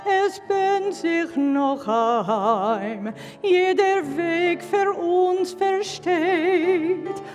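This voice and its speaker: A woman's voice: very high-pitched, very slow, a little mellow, long reverb tail, soft background music. A woman cantor singing a solo in an operatic style. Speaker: cantor, singer.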